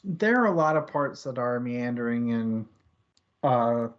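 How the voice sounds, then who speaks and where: A man's voice: medium pitch, lively intonation, a little slow, dull audio, slight background noise. A man hosting a casual book discussion, talking in a rambling, opinionated, conversational way. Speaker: book club podcast host.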